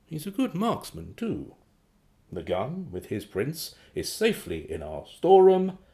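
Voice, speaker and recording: A man's voice: fairly high pitch, unhurried, exaggerated voice. An actor playing a glib secret agent in a radio play, polite and evasive as he answers to his boss. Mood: breezy, confiding. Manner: polite, evasive, theatrically animated. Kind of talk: radio drama acting.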